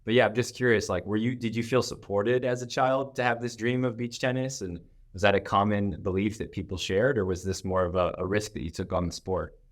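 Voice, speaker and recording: A man with a fairly low voice hosts a podcast interview. He speaks conversationally, in the manner of someone chatting with a guest. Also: fairly even intonation, brisk. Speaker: podcast host.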